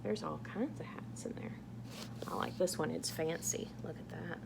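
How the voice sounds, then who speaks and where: A woman reading a picture book aloud to young children in a playful, animated way, asking exaggerated wondering questions. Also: sits low, normal speed, gravelly, whispered. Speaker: children's read-aloud host.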